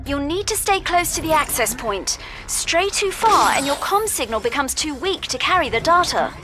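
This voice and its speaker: Perky young tech support gal in a video game, high voice, super animated, polite n kinda awkward, music behind. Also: female voice, normal speed. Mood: brisk, helpful. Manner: chipper, polite, awkwardly hesitant. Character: perky, eager young female agency tech handler. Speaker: mission support.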